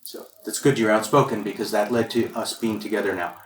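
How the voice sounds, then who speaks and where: Low-voiced male interviewer in a roomy space. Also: steady tone, fairly quick. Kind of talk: conversational interview. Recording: roomy sound from off mic, faint background noise.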